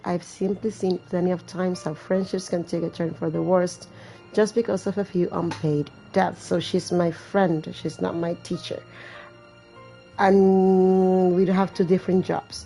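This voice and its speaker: A woman gamer reads game text aloud and drops in blunt, wry asides. Her voice is on the low side and mellow, with plenty of vocal fry. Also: rough recording. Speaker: gaming video host. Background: faint game music.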